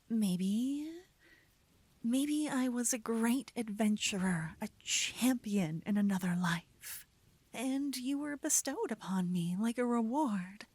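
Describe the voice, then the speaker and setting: A woman playing a devoted, teasing adventurer lover in a romantic fantasy ASMR roleplay, breathy and often whispering, speaking intimately into a close microphone. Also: mid-range voice, darkish tone, no room sound. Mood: musing, gently reasoning. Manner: slow, intimate, soothing delivery. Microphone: close, dry microphone.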